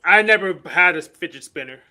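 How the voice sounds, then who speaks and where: A man co-hosting a casual live-stream chat, teasing in a deadpan way. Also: high voice, fairly quick.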